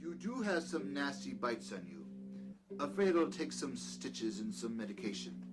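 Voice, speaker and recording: An actor playing a middle-aged, scheming doctor in an audio drama, courteous and old-fashioned but quick to command. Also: male voice, mid-range voice, very animated, music in the background, lightly acted. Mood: apologetic, attentive. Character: peculiar, scheming doctor, outwardly courteous, domineering to his servant.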